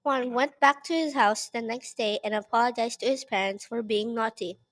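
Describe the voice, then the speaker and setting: A woman's voice: on the high side, fairly even intonation, moderate pace. A woman narrating a scripted children's story as an author reading her work aloud.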